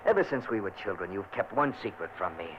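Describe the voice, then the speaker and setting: A man's voice: lowish voice, animated, quick pace, lo-fi sound, lightly acted. An actor playing a domineering lord who threatens his sister, with theatrical delivery in vintage radio drama style. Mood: menacing. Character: domineering, menacing lord, man. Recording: scratchy old radio recording with hiss.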